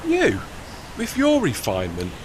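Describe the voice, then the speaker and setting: A man's voice: fairly high pitch, wildly swooping pitch, measured pace, noisy background. A man in an audio play acting as a loyal, bashful working tugboat with blunt, colloquial speech. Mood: sympathetic, indignant. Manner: colloquial, blunt, rough grammar.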